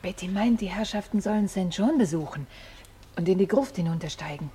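A woman's voice: medium pitch, lively intonation, brisk, dark tone, a little hiss or hum, lightly acted. A woman playing a watchful séance attendant who relays spirit messages insistently in a German radio play.

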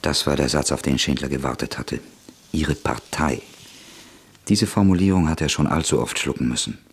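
A man narrating an old radio drama in a measured, sober tone. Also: extremely low pitch, breathy edge.

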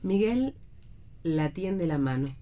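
Radio theatre narrator: Argentine woman, lowish, mellow tone, reading out stage directions. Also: animated, slow.

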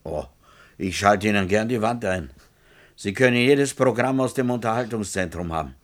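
An actor playing an obliging, plain-spoken bartender in a science-fiction radio play. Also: male voice, on the low side, animated, normal speed, natural voice.

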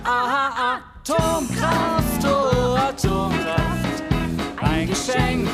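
A woman performer playing a fervent gospel singer in a radio drama, preaching in drawn-out country song. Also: high-pitched, very animated, gravelly, over loud music, exaggerated voice.

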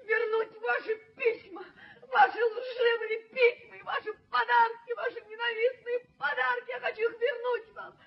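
An actress playing a proud, easily wounded young fiancée in a radio play, speaking with theatrical, imperious emotion. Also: female voice, very high voice, little pitch movement, moderate pace, gravelly. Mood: furious, tearful. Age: young adult. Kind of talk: Soviet radio play acting. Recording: dull, muffled 1970s radio recording.

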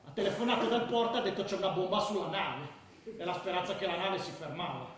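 An actor in a radio drama playing a brash, mocking football hooligan who retells gang exploits in animated slang. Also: male voice, high voice, quick pace, lightly acted. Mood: conspiratorial, amused. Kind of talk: colloquial storytelling, radio drama acting. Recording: very echoey reverberant space.

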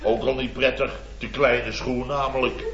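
A man's voice: mid-range voice, level tone, normal speed, natural voice. A Dutch man acting a blustering, self-important building-firm boss in a radio comedy, rambling indignantly. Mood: aggrieved. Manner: indignant, rambling storytelling with tag words. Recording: dull, echoey vintage radio recording with audience.

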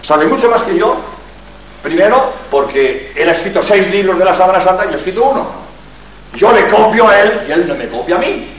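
An older Jesuit priest from Spain gives a public lecture. He jokes and fires rhetorical questions at the room in a fairly high voice. The recording is distant and heavily echoey, like a large hall. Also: fairly quick. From Spain, born in Barcelona. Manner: lively, joking, full of rhetorical questions. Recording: very echoey hall, mic far off.

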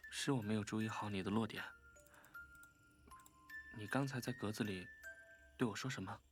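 Young male game player, played by a man: low, measured, coolly analytical, faint musical bed. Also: faint music behind, natural voice. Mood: calm. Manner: matter-of-fact, measured. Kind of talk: audio drama acting.

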